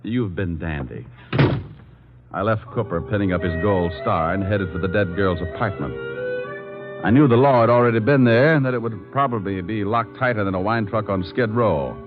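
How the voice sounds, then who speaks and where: An actor playing a cool, hardboiled, wry and slangy private detective in 1940s radio style. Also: male voice, fairly low pitch, moderate pace, over music, close to an everyday voice. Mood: cool, sardonic. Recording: dull lo-fi old radio recording.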